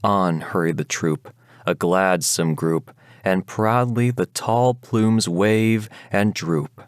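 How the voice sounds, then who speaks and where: A man reading poetry aloud as a dramatic, measured recitation of a gothic ballad, solemn and declamatory. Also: sits low, smooth, no room sound, studio quality. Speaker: poetry reader.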